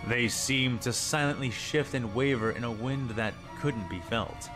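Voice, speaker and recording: A man's voice: mid-range voice, unhurried. Man narrating a dramatic story over prominent music.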